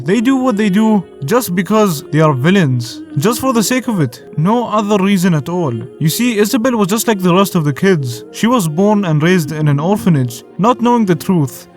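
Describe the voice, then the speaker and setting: Higher-voiced man, native Arabic speaker. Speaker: video reviewer and host. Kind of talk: scripted review narration with casual asides. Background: faint music.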